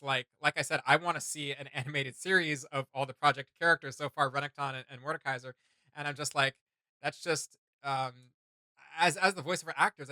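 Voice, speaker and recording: A man with a bright, forward voice talks casually as the host of a reaction show. He rambles enthusiastically, thinking out loud as he goes. The sound is close and dry. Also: medium pitch, no room sound. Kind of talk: casual chat.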